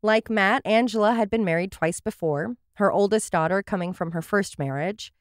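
A woman's voice: mid-range voice, moderate pace, dry recording, studio quality. A woman hosting a true crime podcast, reading her case notes with wry, sarcastic asides. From Portland area, USA.

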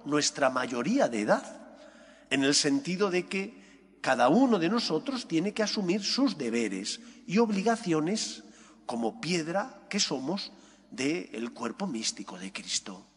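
Spanish priest preaching in a reverberant church. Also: male voice, on the high side, slightly rough. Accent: Spain. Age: middle-aged. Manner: emphatic, didactic, phrases split by deliberate pauses. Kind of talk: sermon, homily. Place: large indoor church. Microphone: gooseneck microphone at lectern.

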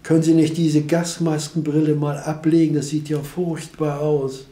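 A man's voice: fairly high pitch, level tone, normal speed, warm tone, reverberant, close to an everyday voice. An older man voicing a haughty army colonel who speaks condescendingly. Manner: condescending, from above.

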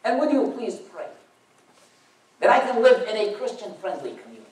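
A man's voice: sits high, animated, a little fast. Young man, Iraqi accent, wry storyteller; distant mic in a reverberant church. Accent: Iraqi Arabic. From Iraq. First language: Arabic. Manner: wry storytelling with dry humor. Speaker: guest speaker. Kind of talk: personal testimony talk. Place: church auditorium. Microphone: far camera across the room. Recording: roomy echo from far off.

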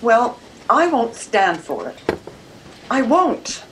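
A woman's voice: a little high, sing-song, slow pace, low-quality recording. An older woman acting a troubled, independent-minded married lady of the Edwardian era in a radio mystery, with formal, careful diction. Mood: distressed. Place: room at home, video call. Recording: video call with background noise.

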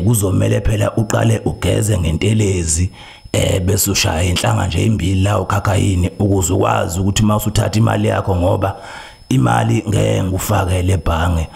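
A South African man voicing a solemn, slow-spoken traditional healer in a prank call, deliberate and hesitant as he repeats himself. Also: deep voice, gravelly, a little mellow. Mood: solemn, businesslike.

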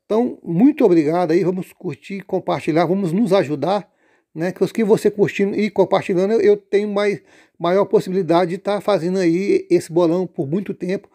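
A man casually pitching a lottery pool, rambling with many fillers and restarts. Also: a little fast, dark tone.

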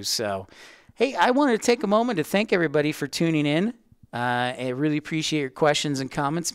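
A man's voice: a little high, smooth, no room sound, studio quality. A man hosting a casual educational livestream, chatty and rambling as he talks directly to his viewers. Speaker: livestream host, aviation educator.

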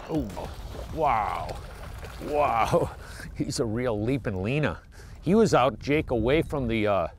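A man's voice: mid-range voice, a little hiss or hum. A middle-aged man hosting a fishing show, giving casual, enthusiastic commentary from a small boat on an open lake. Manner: enthusiastic, exclaiming often.

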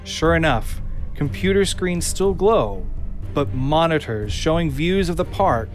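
A man's voice: on the high side, lively intonation, unhurried, faint music behind. A man narrating a scripted audio drama, reading screenplay action.

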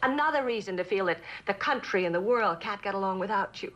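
An actress playing a lonely, neglected wife in a mid-century television drama, plaintive and halting, with a bitter edge. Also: female voice, medium pitch, animated, fairly bright tone, muffled recording, natural voice. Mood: bitter, sarcastic.

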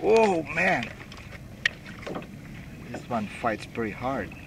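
A middle-aged man filming himself from a kayak on open water, calling out short excited reactions with whoops and laughter. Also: mid-range voice, very slow. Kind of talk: live reactions while fishing. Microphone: action camera mounted on kayak. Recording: wind and water noise.

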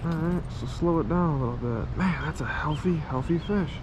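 A man at a small pond records himself on a head-mounted camera while casting and reeling. He chats to viewers in a loose, friendly way and gets excited over each fish. Outdoor ambience comes through clearly on the camera mic. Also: on the high side, normal speed, background noise. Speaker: fishing vlogger. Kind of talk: casual first-person fishing commentary. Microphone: head-mounted action camera.

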